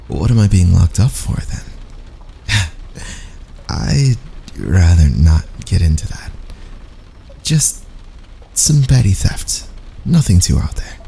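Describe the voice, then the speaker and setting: Male actor as a teasing young fantasy prisoner, intimate ASMR, low creaky voice, breathy playful asides, unhurried, faint background noise. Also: warm tone, full character voice. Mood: sheepish, evasive. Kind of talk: intimate ASMR boyfriend roleplay.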